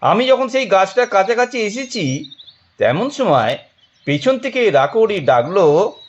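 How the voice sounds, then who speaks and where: A man reads a dramatic story as its narrator. His delivery is slow and measured, with lively, expressive intonation. The recording is a little dull, with faint noise behind. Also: high-pitched.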